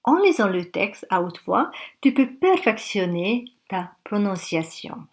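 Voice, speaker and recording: A woman in her forties leads a beginner language lesson. She speaks at a very unhurried pace, laying out each word carefully. Her pitch sits in the middle of the usual range. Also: very animated. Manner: slow, careful classroom delivery. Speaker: French teacher.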